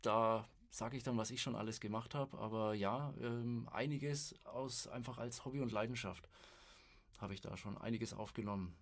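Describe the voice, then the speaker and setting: A man's voice: mid-range voice, steady tone, moderate pace. A man hosting a podcast and asking questions in a casual chat. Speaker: podcast host, interviewer.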